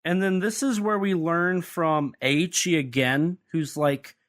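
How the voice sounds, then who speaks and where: A man's voice: fairly high pitch, fairly even intonation, measured pace, fairly bright tone. Chatty man breaking down a monster film. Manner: chatty, analytical, lightly sarcastic. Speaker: podcast co-host. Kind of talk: casual film discussion.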